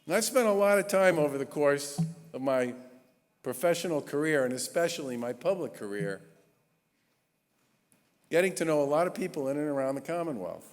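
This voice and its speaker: A man's voice: fairly high pitch, expressive. Man in his 60s speaking in a reverberant church. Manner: earnest, emphatic speaking with measured pauses. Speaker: state governor introducing an award honoree. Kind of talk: formal introduction speech at a gala. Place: large historic church. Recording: long reverb of a large church hall.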